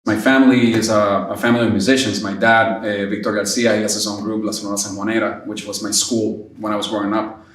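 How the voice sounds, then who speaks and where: Man from puerto rico, relaxed hesitant talk, flat low pitch, echoey distant recording. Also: fast, few pauses. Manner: relaxed, hesitant, many fillers. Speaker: percussionist. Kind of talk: casual interview answers. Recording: echoey room, distant mic.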